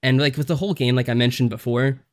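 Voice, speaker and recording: Male podcast co-host, average-pitched voice, informal and a little hesitant, recorded on a remote call. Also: fairly even intonation, fast talker. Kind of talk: casual podcast chat.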